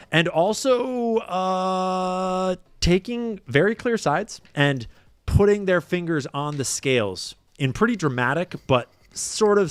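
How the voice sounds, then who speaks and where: A man's voice: fairly high pitch, clear, resonant tone, no room sound. A man giving casual, profane commentary to his live chat, blunt and rambling, with lots of asides.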